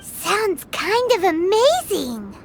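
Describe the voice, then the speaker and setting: A woman voicing a tiny, childlike fairy companion in a video game, squeaky and bubbly with an eager, curious manner. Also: big pitch swings, takes it slowly, gravelly, slight background noise, full character voice. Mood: amazed, eager. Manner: bubbly, exclamatory, refers to self by name. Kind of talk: animated video game voice acting.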